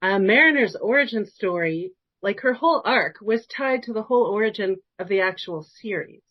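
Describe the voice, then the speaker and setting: A woman interviewer with a mid-pitched voice on slightly dull, lo-fi audio. She asks questions casually and sounds like a friendly, admiring fan. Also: expressive, moderate pace, slightly rough. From New York, United States. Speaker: podcast interviewer.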